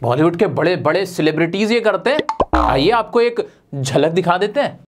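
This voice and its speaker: A man teaching spoken English in an energetic online lesson, emphatic and full of rhetorical questions aimed straight at viewers. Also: high-pitched, normal speed, background noise.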